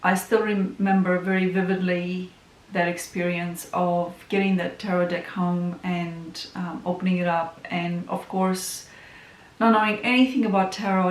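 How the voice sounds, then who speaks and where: A woman from Romania with a Romanian accent, a tarot reader introducing herself in a casual, soft, musing way with lots of ums. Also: lowish voice. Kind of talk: casual self-introduction vlog. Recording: echoey room with faint hiss.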